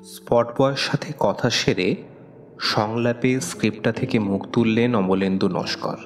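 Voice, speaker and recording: Low-voiced man narrating a dramatic story. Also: unhurried. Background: music with plucked guitar.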